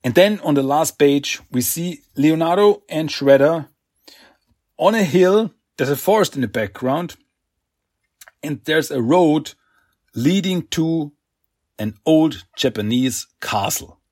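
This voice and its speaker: A husky, slightly high-voiced man with a faint French accent recaps comics in a loose, chatty way, full of fillers and restarts and little acted-out quotes. The sound is dry and close, with no room around it. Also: animated, slow, darkish tone, dry recording. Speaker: podcast host.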